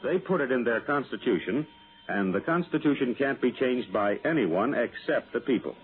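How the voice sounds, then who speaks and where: A man's voice: medium pitch, husky. A man reading a scripted public-service piece as a radio announcer, formal, didactic and declamatory. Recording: muffled vintage radio broadcast with music.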